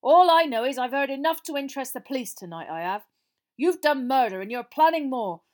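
A woman voicing a stubborn, plain-spoken old man in a storybook, with a rough, blunt manner. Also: sits high, expressive, fairly quick, voice pushed up. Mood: bold, accusing.

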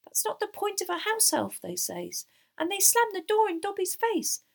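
Woman acting tiny male house elf, very high squeaky voice, shrill, excitable, servile, audiobook character. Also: moderate pace, creaky, heavily acted. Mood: earnest, proud. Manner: deferential, third-person, quirky grammar.

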